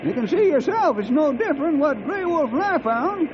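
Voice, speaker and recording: High, excitable old cowhand. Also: male voice, normal speed. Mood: baffled. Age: older. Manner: sputtering, folksy dialect. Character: excitable folksy old cowhand sidekick, male. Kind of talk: old-time radio drama acting. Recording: dull, noisy old radio recording.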